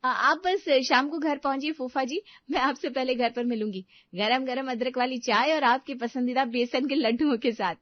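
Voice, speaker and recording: A woman's voice: high voice, normal speed, no echo, dull audio, lightly acted. A woman voicing a dutiful, emphatic young daughter-in-law in a family drama. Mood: insistent. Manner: earnest, emphatic.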